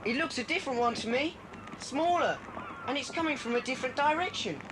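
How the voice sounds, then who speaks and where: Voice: sits high, animated, brisk. A performer playing a sensible, reassuring older boy in a children's adventure radio play. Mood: alert, reasoning. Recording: noisy old cassette transfer.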